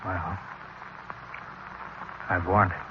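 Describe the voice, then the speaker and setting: An actor playing a grave, insistent captain with a measured, official manner, in 1950s radio drama style. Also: male voice, low voice, level tone. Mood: grave, controlled. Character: grave, insistent male captain, a cautioning superior. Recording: dull, noisy vintage radio recording.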